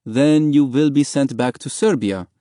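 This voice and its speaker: A man's voice: medium pitch, a little slow, warm tone, no echo, studio quality, voice pushed up, lightly acted. A man voicing an indifferent, curt policeman doing his paperwork in an audiobook story.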